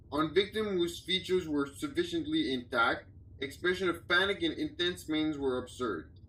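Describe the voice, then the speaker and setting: A man with a voice a little higher than average narrates a scripted report. His delivery is formal and clinical, like an official log being read out. The sound is very roomy and somewhat distant from the microphone. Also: very noisy. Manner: formal, clinical document reading. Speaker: narrator of a fiction audio log. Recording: roomy, echoey, distant from the mic.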